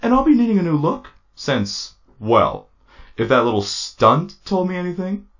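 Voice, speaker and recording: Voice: mid-range voice, sing-song, moderate pace. An actor voicing a vain, flirtatious robot showman with a theatrical, drawling, campy manner, in a comic dub. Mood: catty, smug. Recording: dull lo-fi audio with music behind.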